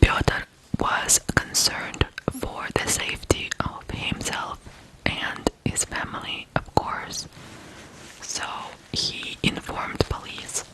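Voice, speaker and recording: An ASMR host from Ukraine, telling stories in a hushed whisper with frequent pauses between short phrases. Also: rough recording. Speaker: ASMR video host and storyteller. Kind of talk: whispered ASMR true crime storytelling.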